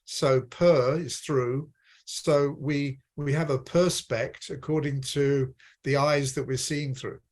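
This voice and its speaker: Unhurried man from Britain's Midlands. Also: mid-range voice, steady tone, darkish tone. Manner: measured, explanatory, restarts phrases. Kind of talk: reflective conversation on personal development.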